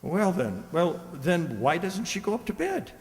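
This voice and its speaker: A man's voice: sits high, big pitch swings, a little slow, full character voice. A man playing a blunt, hearty country father in a radio drama. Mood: puzzled, exasperated. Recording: hall-like reverb, music.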